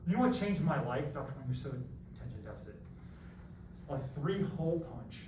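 A man's voice: medium pitch, moderate pace. Echoey, far-off American man teaching. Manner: animated, self-deprecating, digressive, theatrical. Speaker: college math teacher. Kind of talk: classroom lecture with rambling asides. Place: classroom, masked, in front of students. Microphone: far camera at back of room. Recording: roomy echoey classroom, distant mic, background noise.

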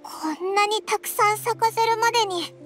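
A woman's voice: very high-pitched, normal speed, music in the background. A woman voicing a tiny childlike fairy companion in a game, curious, blunt and exclamatory. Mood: curious, amazed. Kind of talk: Japanese game voice acting.

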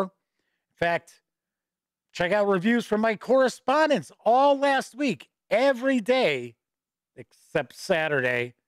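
A man's voice: high voice, dry recording. A middle-aged man with a slight cold and a stuffy voice, hosting a casual live-stream news show from a home studio, chatty and upbeat with asides. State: a little stuffy with a starting cold. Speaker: host and editor-in-chief of a tabletop gaming news show. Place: home studio with shelves of games behind. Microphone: studio mic on boom arm close to mouth.

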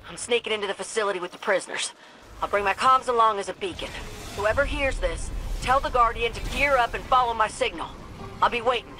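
A woman's voice: on the high side, brisk, bright, forceful tone, soft background music, rough recording. A woman voicing a spirited, wry pilot with a Southern US accent in a video game, talking over radio comms in a folksy way. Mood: urgent, steady. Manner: folksy, plucky.

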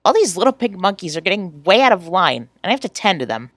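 A woman's voice: fairly low pitch, sing-song, quick pace, no echo. A woman voicing a goofy, earnest teenage boy from a webcomic, playful and exclamatory, in an amateur character reading. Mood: excited, teasing.

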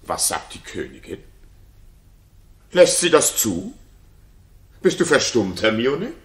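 A man's voice: medium pitch, big pitch swings, takes it slowly. An actor playing a possessive, brooding king in a 1960s German radio drama, with a terse, commanding manner. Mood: prompting.

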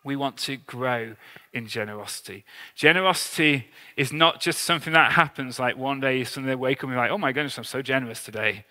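A man's voice: medium pitch, fairly quick, pushed and bright. A man around forty, preaching an informal sermon in a conversational, earnest, self-deprecating way. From England. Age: 30s to early 40s. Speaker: pastor, church leader. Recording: long hall reverb on preaching voice.

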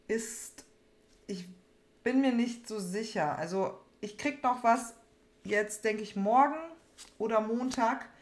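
Young woman chatting casually, roomy echo. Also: fairly high pitch. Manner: informal, rambling, repeats phrases. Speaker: trading card opening host. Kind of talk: casual chat while opening card packs. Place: small room at home, indoors. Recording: roomy echo, background noise, card crinkling.

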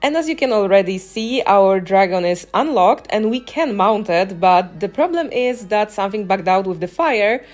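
A woman's voice: mid-range voice, lively intonation, moderate pace, few pauses, a little mellow. Woman narrating a game walkthrough over music. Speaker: gaming guide narrator. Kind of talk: casual walkthrough commentary. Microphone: voice-over on a microphone, game music behind.

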